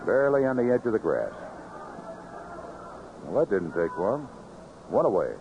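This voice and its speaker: American baseball announcer on noisy vintage radio. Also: male voice, on the low side, normal speed, dull audio. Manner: animated calls rising sharply on big plays, clipped phrases. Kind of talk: live radio baseball play-by-play. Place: ballpark broadcast booth.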